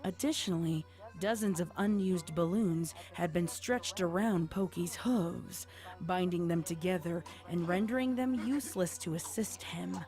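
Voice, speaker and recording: A woman's voice: fairly low pitch, measured pace, a little mellow, faint music behind. A woman reading scripted story narration as the narrator of an audio tale.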